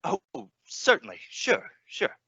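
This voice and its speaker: A man's voice: slow, sharp tone, no echo, muffled recording. A man acting as an eager, flustered middle-aged father in an old-time radio play, hearty and repeating himself.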